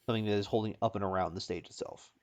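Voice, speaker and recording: A man's voice: lowish voice, steady tone, racing. A man improvising narration as a tabletop game master, often searching for words and repeating phrases as he describes things.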